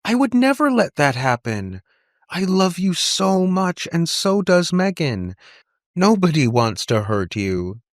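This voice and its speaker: A man voicing a caring aunt in an audiobook story, speaking in a gentle, reassuring, concerned way. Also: high-pitched, sing-song, takes it slowly, dry recording, clean studio sound, pitched above the performer's own voice, full character voice. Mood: anxious reassurance. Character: caring, gentle aunt comforting her young niece.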